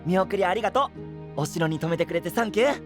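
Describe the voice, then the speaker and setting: An actor playing an eager, boyish young knight in an anime voice drama, cheerful and casual in manner. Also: male voice, sits high, quick pace, music in the background. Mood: excited. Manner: excitable, casual, rustic, boyish.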